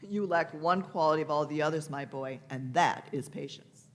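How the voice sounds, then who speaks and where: A woman's voice: sits low, normal speed, lightly acted. Musing, imperious elderly time traveler played by a woman. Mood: lofty. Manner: musing, repeats phrases, old-fashioned endearments. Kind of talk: radio play performed by amateur players.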